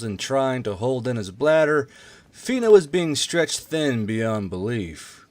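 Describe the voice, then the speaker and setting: A man's voice: medium pitch, dry recording. A man reading a fantasy war story aloud as scripted narration. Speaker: narrator, audiobook reader.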